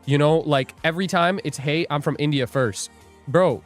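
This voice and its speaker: A young man hosts a live trading stream, joking, rambling and laughing as he reads out his chat. His voice sits a little high for a man. Faint music plays under the talk. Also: moderate pace. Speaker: livestream day trader host. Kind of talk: casual livestream chat.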